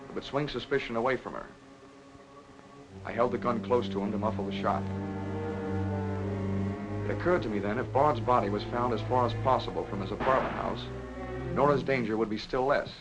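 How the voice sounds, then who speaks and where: An actor playing a grave man confessing a cover-up in measured, sombre 1940s film-noir narration. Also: medium pitch, lo-fi sound, over loud music, lightly acted. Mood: sombre, confessional. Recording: old film soundtrack with loud score.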